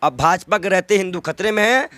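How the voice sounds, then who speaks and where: A man's voice: sits high, lively intonation, a little fast, fairly bright tone, no room sound. A man giving an impassioned political interview, arguing indignantly with rhetorical questions and emphatic repetition.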